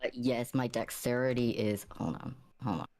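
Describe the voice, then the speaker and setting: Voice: mid-range voice, fairly even intonation, normal speed, a little mellow. A player in casual tabletop game chat, hesitant and searching for words.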